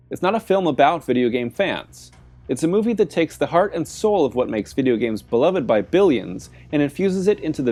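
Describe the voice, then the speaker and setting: A man's voice: medium pitch, darkish tone. A man delivering scripted film essay narration as a video essayist, with music playing behind him. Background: background music.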